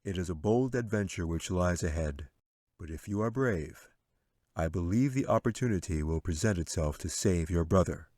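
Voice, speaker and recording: A solemn guardian angel from a fantasy storybook, played by a man, speaking with calm, measured formality. The voice is very low and reassuring, recorded close and dry. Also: no room sound. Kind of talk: fantasy audiobook character acting.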